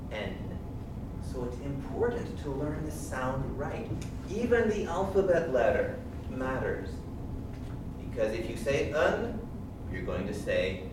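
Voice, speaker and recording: Male English teacher taking questions from the class in a big echoey room, mic far off, background hiss. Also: medium pitch. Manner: explaining patiently, asking the class questions. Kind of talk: classroom pronunciation lesson.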